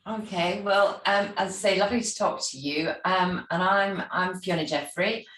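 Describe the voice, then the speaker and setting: A middle-aged or older woman from Scotland with a soft Scottish accent, giving a polite, reflective personal account as an interview guest. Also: fairly low pitch, normal speed. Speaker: interview guest, travel industry executive. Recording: roomy, distant microphone.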